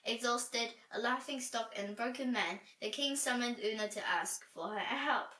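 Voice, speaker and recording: Bright, echoey woman reading a children's story. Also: on the high side, moderate pace. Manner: animated, dramatic storytelling. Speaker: storybook reader. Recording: roomy echo, distant from mic.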